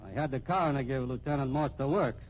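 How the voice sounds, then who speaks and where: An actor playing a gruff, impatient homicide captain in a 1950s radio crime drama, blunt and tough-talking. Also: male voice, medium pitch, fast, lightly acted. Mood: firm, accusing. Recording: muffled, noisy old radio recording.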